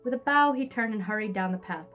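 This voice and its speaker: A woman's voice: mid-range voice, animated, quick pace, highs cut off. A young woman giving a casual, sarcastic book recap with theatrical read-aloud bits, swearing freely. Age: young adult. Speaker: book reviewer, host. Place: indoors, small room in front of a hung sheet. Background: classical music.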